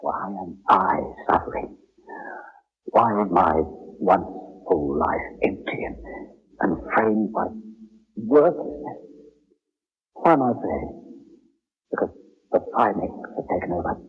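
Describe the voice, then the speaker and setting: A man playing an anguished human surgeon in an old-time radio drama, halting and overwrought, repeating his phrases. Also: low-pitched, sing-song, slightly rough, exaggerated voice. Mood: bitter despair. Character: anguished human surgeon obsessed with discrediting robot doctors. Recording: dull, lo-fi old radio recording.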